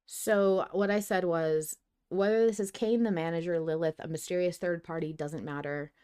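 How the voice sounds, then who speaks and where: Woman, low pitch, clear smooth voice, earnest, expressive, casual podcast host. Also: moderate pace. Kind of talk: casual fan podcast discussion.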